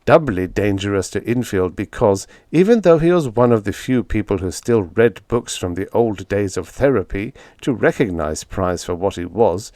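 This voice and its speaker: A man's voice: lowish voice, lively intonation, normal speed, hardly any pauses, darkish tone, no room sound, studio quality. A man reading a scripted short story aloud as an audiobook narrator.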